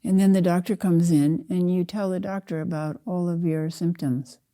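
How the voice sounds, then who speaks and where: Older woman with a very dark, mellow voice. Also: on the low side, steady tone. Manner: measured, deliberate, spoken in short phrases with pauses. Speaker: Zen teacher leading a guided meditation. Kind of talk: slow guided meditation narration.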